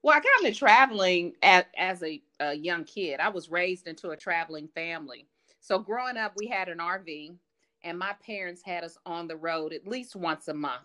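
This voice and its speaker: A woman from Kansas City, a grandmother, chatting as a guest about her family travels in a relaxed storytelling way. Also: on the low side, moderate pace. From Kansas City, Missouri. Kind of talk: casual interview chat.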